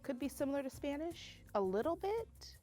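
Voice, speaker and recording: A woman's voice: sits high, sing-song, moderate pace, mellow, faint music behind. A woman guessing languages in casual chat, hesitant and full of fillers.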